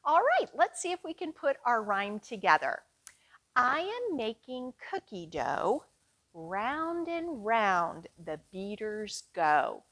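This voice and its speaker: Slow, expressive woman reading to children. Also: on the high side. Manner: sing-song, deliberate delivery for young children. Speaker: children's librarian and storytime host. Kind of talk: children's storytime read-aloud.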